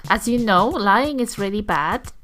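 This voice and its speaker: A woman in casual roleplay chat, gently moralising and hesitant. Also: medium pitch, lively intonation, a little slow, soft background music. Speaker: tabletop game player.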